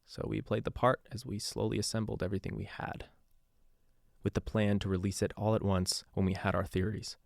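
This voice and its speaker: A low-pitched, embittered radio DJ played by a male actor records a confessional account for a podcast drama. He talks conversationally, weary and sardonic, in a very dry, close sound. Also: flat delivery, brisk, gravelly, no room sound. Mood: sober, guarded. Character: male radio DJ turned secretive investigator, embittered and haunted. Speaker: radio DJ narrating a taped case file.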